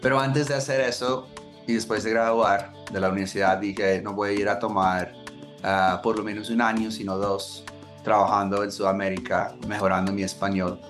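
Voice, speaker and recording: A man from the United States speaking Spanish with an American accent, telling his life story in a halting way with many restarts. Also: on the low side, flat delivery, fairly bright tone. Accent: American English. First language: English. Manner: halting, restarts words, chuckles at himself. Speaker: entrepreneur interviewee. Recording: guitar music underneath.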